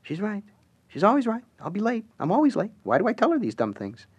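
A man's voice: high-pitched, wildly swooping pitch, a little fast, slightly rough, voice pushed up, full character voice. A man reading a story, voicing a petulant, apologetic rebel man in a clown suit. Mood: sheepish. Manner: petulant, sheepish.

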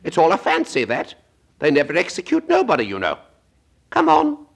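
A man voicing a gleeful, mischievous griffin with a squeaky, cackling voice. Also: huge pitch swings, moderate pace, gravelly, husky, exaggerated voice.

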